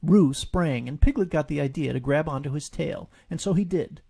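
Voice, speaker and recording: A man's voice: on the high side, moderate pace, creaky, dark tone, breathy edge. A man narrating a story as a scripted audiobook reading. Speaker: author reading his own story.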